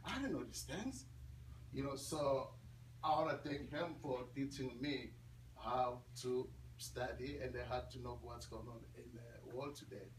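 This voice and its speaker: A man with a Congolese accent gives an informal talk as a guest speaker, in English he learned later. He is conversational and repeats phrases for emphasis. The recording is roomy, picked up from a distance, with clear noise behind him. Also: mid-range voice, a little slow. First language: not English.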